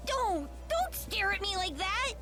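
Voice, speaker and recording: A woman voicing a squeaky, bratty floating plush creature in a dubbed game cutscene, shrill and indignant. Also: sing-song, a little slow, over music, full character voice.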